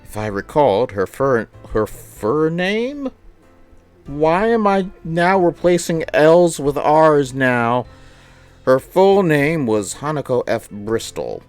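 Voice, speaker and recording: A woman reads a visual novel aloud on a livestream, taking her time. Her pitch moves around a lot as she slips into amused, exasperated reactions. Game music plays faintly behind her. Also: big pitch swings, a little mellow. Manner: amused, exasperated asides between deliberate reading. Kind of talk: livestream playthrough, reading and reacting casually.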